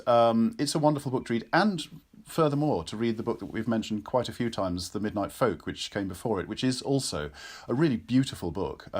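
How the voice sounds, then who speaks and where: A man with a fairly low voice hosts an interview. He speaks fluently and effusively, in a conversational way with emphatic phrasing. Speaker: interviewer and host, actor.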